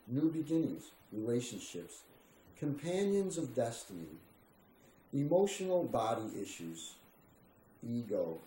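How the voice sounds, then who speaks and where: A man reads spiritual writing slowly and solemnly, leaving deliberate pauses between phrases. His voice is mellow, and the room adds a noticeable echo. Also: medium pitch. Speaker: reader. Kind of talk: slow spiritual reading of a written text. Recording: roomy echo with faint background noise.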